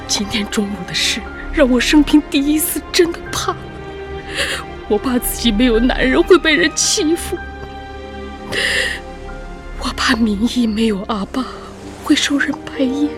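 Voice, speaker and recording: An actress playing an anxious widowed young mother in a radio drama, pleading in a plaintive, halting, earnest way. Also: sits high, animated. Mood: distressed pleading. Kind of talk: emotional radio drama acting. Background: music.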